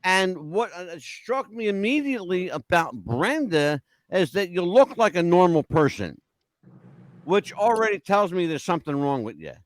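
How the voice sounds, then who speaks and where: An older male talk show host from the Northeastern United States, speaking in a high register with big swings of intonation. He banters casually and teases theatrically, and the sound is close and dry. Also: very animated, moderate pace, no echo. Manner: teasing, theatrical, joking.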